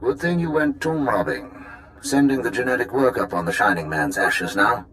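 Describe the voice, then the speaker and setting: A man's voice: mid-range voice, big pitch swings, normal speed, fairly bright tone, a little hiss or hum, rough recording. A man playing a wry, matter-of-fact undercover agent in a video game, giving dry, informal briefings. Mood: wry, businesslike. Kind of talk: video game dialogue acting.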